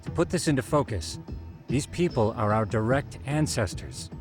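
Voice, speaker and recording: A man acting a bookish, earnest game detective delivering a briefing. His mid-pitched voice rises and falls freely as he explains with scholarly precision. Music plays clearly behind him. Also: animated, normal speed, natural voice. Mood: earnest, lecturing. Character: nerdy, earnest young male detective lecturing on science. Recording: background music under the dialogue.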